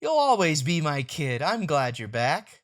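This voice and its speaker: A woman's voice: low-pitched, big pitch swings, a little slow, pitched below the performer's own voice. A woman voicing a practical, caring, mildly exasperated mother in a motherly, matter-of-fact manner for a comic strip read aloud. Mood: calm, caring.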